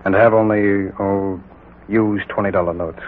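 An actor playing a brisk, reassuring FBI agent in a 1940s radio drama, questioning in a businesslike way. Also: male voice, sits low, fairly even intonation, slight background noise, close to an everyday voice. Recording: narrow-band 1940s radio recording.

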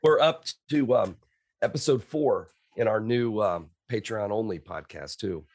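A man's voice: lowish voice, a little slow, darkish tone. A man co-hosting a podcast in casual chat, with dry, wry humor. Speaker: podcast co-host and researcher.

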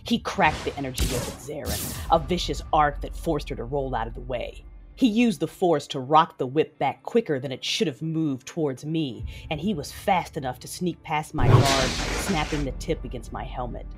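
Grim warrior narrator over music. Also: female voice, low-pitched, lively intonation, moderate pace. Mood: grim, determined. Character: determined saber-wielding warrior narrating a duel. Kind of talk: first-person dramatic narration. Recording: music behind the voice.

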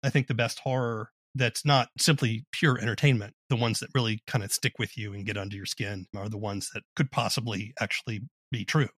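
A man interviewing in a measured, reflective conversational way as host. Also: lowish voice, steady tone, a bit bright, slightly rough, no echo.

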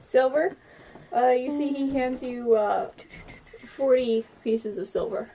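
Casual female game master, slightly high voice, narrating a group game over faint noise. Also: takes it slowly, dark tone, rough recording.

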